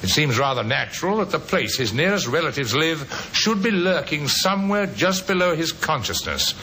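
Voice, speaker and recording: A man's voice: very animated, normal speed, bright, forceful tone, heavily acted. A man playing a courteous, persistent police inspector in a radio drama, clipped and probing. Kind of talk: 1950s radio drama acting. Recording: old noisy radio recording with rumble.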